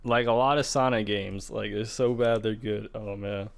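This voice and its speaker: Male podcast co-host, lowish register, informal gamer conversation. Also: steady tone, normal speed, gravelly. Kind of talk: casual gaming podcast chat.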